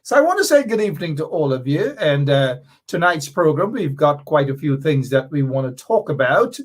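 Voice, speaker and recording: Middle-aged guyanese guy on his talk show, high voice, super lively up and down, repeats stuff for emphasis. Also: moderate pace. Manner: animated, emphatic, repeats key points. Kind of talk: political commentary monologue.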